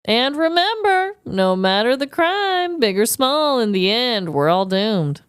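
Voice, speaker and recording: A woman with a fairly high, very animated voice talks as a podcast co-host in a close, dry recording. Her delivery is casual and comedic, full of slangy emphasis. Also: measured pace, clean tone, dry recording.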